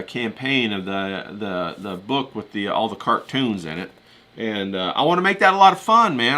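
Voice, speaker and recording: A man with a fairly low voice and a bright, forceful edge. He talks as a livestream host in a loose, folksy monologue, circling back over his words in colloquial, rambling phrases. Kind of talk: casual chatty livestream monologue.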